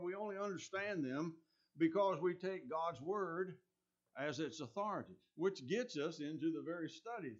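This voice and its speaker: A man preaching a sermon with a scratchy voice, talking earnestly and often restarting his phrases. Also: high voice. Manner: earnest, with many restarts and ums. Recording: dull lo-fi recording.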